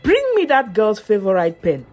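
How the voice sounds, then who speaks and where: A woman's voice: mid-range voice, big pitch swings, moderate pace, a little mellow, faint music behind. A woman voicing a manipulative older schoolgirl who plays mother, honeyed and commanding, in a narrated folktale. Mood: scheming, commanding. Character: manipulative, sweet-talking senior schoolgirl acting as mother.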